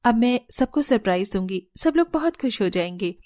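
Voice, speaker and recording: A woman's voice: on the high side, expressive, moderate pace, a little mellow, a little hiss or hum, natural voice. A woman voicing a firm but caring young daughter-in-law who reasons earnestly in a cartoon story. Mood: pleased, earnest. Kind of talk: Hindi cartoon story dialogue.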